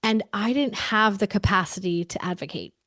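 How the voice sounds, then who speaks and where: A woman's voice: medium pitch, normal speed, a bit bright, no echo, studio quality. A woman in her forties talking candidly as an interview guest, emphatic and chatty, often saying "like" and restarting her sentences. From United States.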